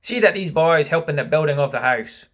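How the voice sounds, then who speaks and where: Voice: medium pitch, animated, a little fast. A storybook reader voicing a cocky boy leader who gives orders in a commanding, sometimes mock-posh way. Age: child. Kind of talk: storybook reading with character voices.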